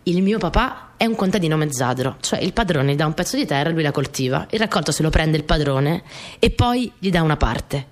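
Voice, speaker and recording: A woman's voice: sits low, animated, fairly quick. An actress playing a young peasant girl in a radio monologue, telling her story in a naive, colloquial way, repeating phrases for emphasis. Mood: earnest, confiding. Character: young Italian peasant girl, earnest, plainspoken, stubborn storyteller. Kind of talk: dramatic monologue in a radio play. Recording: music behind the voice.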